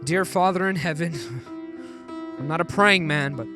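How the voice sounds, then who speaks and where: A young man playing a desperate, troubled family man in a live radio play, halting and pleading as he talks. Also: a little high, music in the background, natural voice. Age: young adult.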